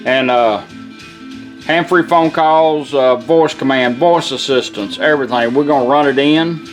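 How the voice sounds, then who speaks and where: A man's voice: mid-range voice, a little slow, faint music behind. A man giving a casual, folksy product demonstration, explaining things step by step in an informal way. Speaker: radio restorer demonstrating a product.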